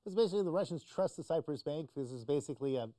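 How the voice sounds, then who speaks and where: Mellow, higher-pitched male commentator, dry sound. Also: expressive, racing, no room sound. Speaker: news commentator on a talk show. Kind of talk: conversational explanation of news.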